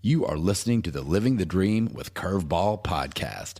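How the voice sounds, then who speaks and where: A man's voice: sits low, lively intonation, moderate pace, a little mellow. A man reading a scripted podcast introduction as an announcer.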